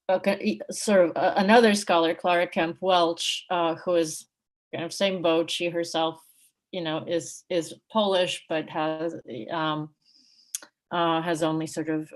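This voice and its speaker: A woman from Russia speaking unscripted in an academic discussion, reflective and halting, with many hedging asides. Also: on the low side. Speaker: book co-editor and panel speaker.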